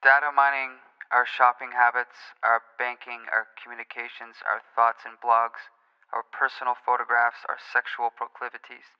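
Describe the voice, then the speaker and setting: A man playing an earnest, pedantic conspiracy-theorist caller in a radio play, speaking formally and deliberately, in a muffled, reverberant recording. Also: medium pitch, completely flat delivery, smooth, lightly acted. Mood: grave, insistent. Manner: deliberate, formal, precise, solemn.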